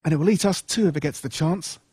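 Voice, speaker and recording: Horror storybook lead: loyal Australian lad, read by a man, urgent, emphatic, lifted pitch. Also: quick pace, darkish tone, husky, no room sound. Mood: urgent warning. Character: young boy hero, loyal, urgent, protective mate. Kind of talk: acted dialogue in horror audiobook.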